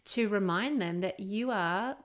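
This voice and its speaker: Female podcast co-host, middle-aged, Australian accent, mid-pitched; candid, emphatic, encouraging chat. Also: unhurried. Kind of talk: casual conversational podcast chat.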